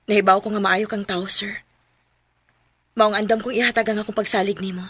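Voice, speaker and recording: An actress playing a gentle, affectionate married woman in love with an older man in a Cebuano radio romance drama, with a Cebuano accent. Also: medium pitch, flat delivery, a little fast, soft background music, natural voice. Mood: hesitant.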